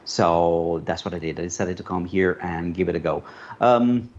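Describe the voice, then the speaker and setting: A middle-aged man from Colombia, a native Spanish speaker, telling his story in a relaxed, rambling way with many fillers. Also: sits low, moderate pace. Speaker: panel guest, media commentator. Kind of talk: casual conversational storytelling. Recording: dull lo-fi call audio with faint noise.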